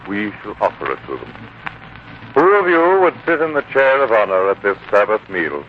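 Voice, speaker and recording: A man's voice: lowish voice, big pitch swings, moderate pace. An actor playing a proud biblical man newly humbled, earnest and declamatory in 1940s radio style. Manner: theatrical, declamatory. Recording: tinny, noisy 1940s radio broadcast.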